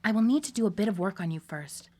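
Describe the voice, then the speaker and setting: An actress in a live radio drama playing a coolly manipulative scientist doctor, coaxing and controlled. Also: female voice, mid-range voice, expressive, fast, dark tone, close to an everyday voice.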